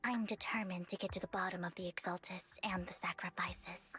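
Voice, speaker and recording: A woman voicing a proud, resolute young woman in a video game, cool and formal, with soft breathy phrase endings. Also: on the low side, steady tone, a little fast, faint music behind.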